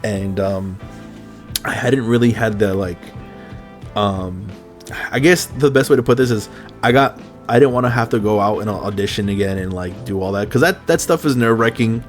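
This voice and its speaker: A man with a lowish voice talks in a loose, rambling way, dropping curse words and breaking into laughter often. He comes across as a laid-back podcast host in casual chat, with faint music under the talk. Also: normal speed.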